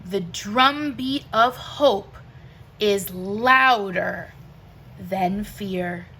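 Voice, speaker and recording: A woman reading rhyming verse aloud for children in an expressive way, with deliberate, emphatic phrasing and pauses between phrases. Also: mid-range voice, a little hiss or hum. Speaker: teacher reading a picture book aloud.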